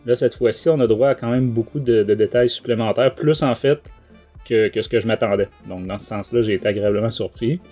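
A man's voice: medium pitch, warm tone. Hesitant Quebec man over background music. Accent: Quebec French. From Quebec, Canada. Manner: hesitant, rambling. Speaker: podcast host. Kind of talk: casual review discussion.

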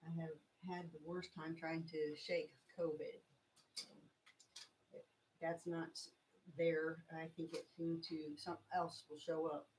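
A woman's voice: low-pitched, little pitch movement, measured pace, darkish tone. Middle-aged woman, scratchy from illness, rambling craft-stream host, faint background hiss. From Texas. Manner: chatty, rambling, stops to explain each step. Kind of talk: casual craft tutorial livestream chat. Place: small home craft room, indoors.

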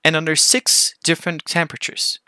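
A man's voice: on the high side, flat delivery, a little fast, pushed and bright, clean tone, no echo. A man with a Brazilian accent, a native Portuguese speaker, giving a formal, methodical scripted technical presentation as a researcher.

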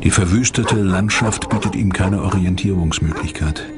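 A man's voice: deep voice, normal speed. A man reading scripted documentary narration as the narrator. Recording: music behind the voice. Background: music.

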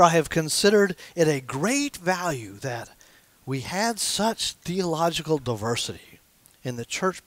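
A man's voice: on the high side, dry recording. Pastor in his sixties, easy conversational sermon at a small church pulpit, dry close sound. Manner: conversational, gesturing with his hands as he preaches. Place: small church sanctuary with stained glass windows.

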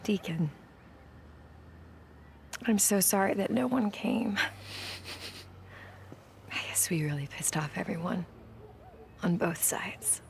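A woman playing an earnest, devoted young bride in a video game cutscene, speaking softly and intimately. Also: lowish voice, slow, creaky, long pauses, slight background noise. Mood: tender, earnest. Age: young adult.

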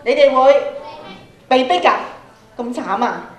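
In a very reverberant hall, a woman with a Hong Kong Cantonese accent addresses schoolchildren over a microphone. Her voice sits a little high. She lectures interactively, often tagging her points with questions to the listeners. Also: lively intonation, unhurried. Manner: questioning, prompts listeners with frequent tag questions. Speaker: workshop speaker, radio programme producer. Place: large school auditorium, indoors. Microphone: handheld microphone, filmed from audience. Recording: echoey hall sound from PA.

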